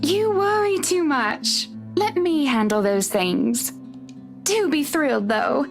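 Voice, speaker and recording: Wealthy genteel lady for a visual novel: high, animated, poised and businesslike, music faintly behind. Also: takes it slowly, gravelly, exaggerated voice. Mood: breezy. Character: wealthy, poised, enthusiastic blonde lady, breezily commanding. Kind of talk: visual novel game voice acting.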